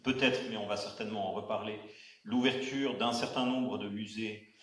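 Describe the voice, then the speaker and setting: A man's voice: fairly low pitch, fairly even intonation, a bit bright. Hesitant man moderating a panel. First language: not Arabic. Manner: halting, many fillers and restarts. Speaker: round-table moderator. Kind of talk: hesitant spoken introduction at a panel. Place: auditorium. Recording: echoey auditorium sound.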